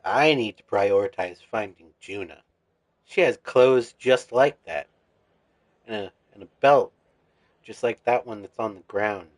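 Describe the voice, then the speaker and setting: A man's voice: lowish voice, unhurried, no echo. A man casually commenting as he plays a video game and reads out its dialogue. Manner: relaxed, musing asides. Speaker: let's play streamer.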